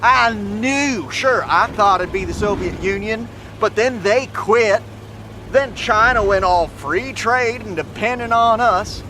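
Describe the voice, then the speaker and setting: Man playing a high-voiced, cocky doomsday prepper. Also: takes it slowly, pushed and bright, full character voice. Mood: smug, vindicated. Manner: boastful, folksy, swearing freely. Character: cocky middle-aged male doomsday prepper driving an armored truck. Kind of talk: self-recorded video monologue in audio drama. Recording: noisy inside a moving vehicle. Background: vehicle engine and road noise.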